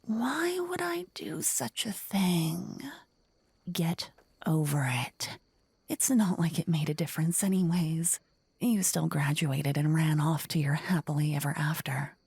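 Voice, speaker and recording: A woman's voice: sits low, unhurried, creaky, a little mellow, slightly rough, no room sound, full character voice. A woman playing a spiteful, taunting rival necromancer woman in a whispery fantasy ASMR roleplay, menacing and mocking.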